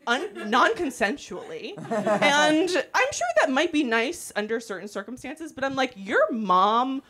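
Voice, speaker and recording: A woman's voice: high voice, very animated, measured pace, pushed and bright. A young woman comic from Atlanta, talking casually on a club stage into a handheld mic and laughing through her lines. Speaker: stand-up comic. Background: crowd.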